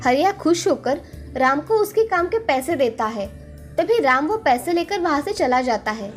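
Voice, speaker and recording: A woman's voice: sits high, sing-song, normal speed, soft background music. A woman reading a scripted children's story as its storyteller.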